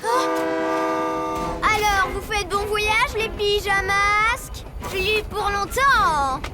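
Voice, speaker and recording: A smug boy genius villain, voiced by an adult actor with a very high, childlike voice. He sneers and boasts at the heroes. Loud cartoon music plays beneath him. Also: extremely slow, heavily acted. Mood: taunting. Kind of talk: French cartoon dubbing.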